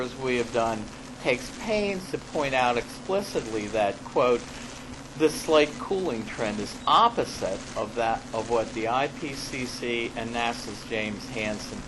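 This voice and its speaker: A man reading an academic paper aloud in a classroom as a lecturer, halting and deliberate, stressing key words and adding spoken asides. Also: medium pitch. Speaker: lecturer presenting own paper. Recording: clearly noisy live classroom recording.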